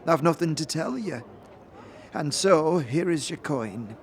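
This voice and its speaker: A woman playing a hunched old fortune-teller, grave and cryptic, with archaic, halting, portentous phrasing in an audio drama. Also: deep voice, lively intonation, a little hiss or hum. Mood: grave, mysterious. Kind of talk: audio drama character acting.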